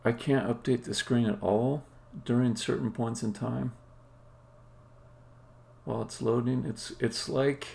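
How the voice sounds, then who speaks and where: A man who is a game developer, talking casually and haltingly as he thinks aloud during a live coding stream. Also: mid-range voice, fairly even intonation, creaky, darkish tone.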